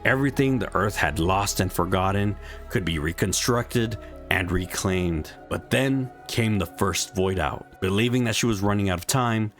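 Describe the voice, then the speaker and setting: A man reading a scripted story recap in a measured, explanatory storytelling manner. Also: low voice, a bit bright. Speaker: narrator of a video game story recap. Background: background music.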